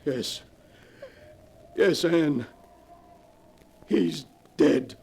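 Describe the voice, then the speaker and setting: A man's voice: a little high, extremely slow, creaky, mellow, breathy edge, soft background music, exaggerated voice. A man playing a grave, worried middle-aged father in a solemn, paternal manner in an old radio horror drama. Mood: alarmed. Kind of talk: 1940s radio horror acting. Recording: noisy old radio recording.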